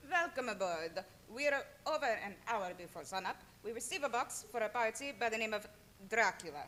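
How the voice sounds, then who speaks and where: A woman playing excitable dockside bit characters in a theatrical radio play. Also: a little high, moderate pace, a little hiss or hum. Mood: businesslike.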